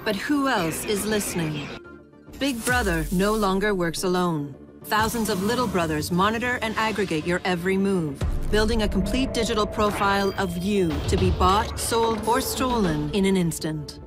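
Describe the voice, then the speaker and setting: A woman playing a serious narrator who delivers scripted, documentary-style warnings in a deliberate, ominous manner. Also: medium pitch, lightly acted. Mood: grave, ominous. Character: serious female narrator warning of surveillance and hacking. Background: music.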